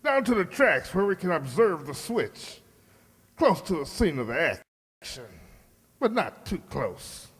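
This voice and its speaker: Guy doing radio play villain boss, pompous n menacing, raspy, slow talker, big echoey reverb. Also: a little high, big pitch swings, a bit bright, full character voice. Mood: smug, menacing. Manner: pompous, menacing, theatrical delivery. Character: cold, scheming male crime boss, imperious and short-tempered. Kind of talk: old-time radio drama acting. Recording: long hollow reverb.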